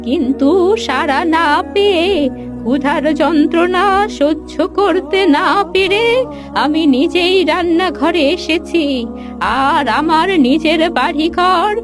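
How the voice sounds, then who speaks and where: A woman voicing a frail, gentle old grandmother in a cartoon, with a sorrowful, pleading, drawn-out delivery. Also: very high voice, over music, pitched above the performer's own voice. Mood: hurt, pleading. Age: older.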